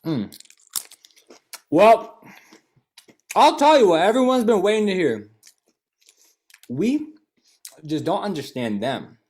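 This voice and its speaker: A performer gives a theatrical monologue as if chatting to the listener. The pitch swings widely and animatedly, while the manner stays hesitant and knowing. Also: very animated, slow pace, pauses often, darkish tone.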